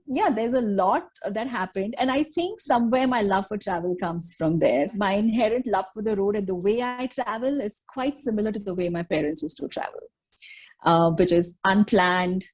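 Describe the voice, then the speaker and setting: A woman in her forties, a guest on a podcast, recalls her childhood road trips. She talks in a loose, halting way, pausing on fillers and restarting her sentences. Her voice sits at an ordinary middle pitch. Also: a little mellow. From Kerala, India. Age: 40s. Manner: hesitant, many fillers and restarts. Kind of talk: conversational storytelling.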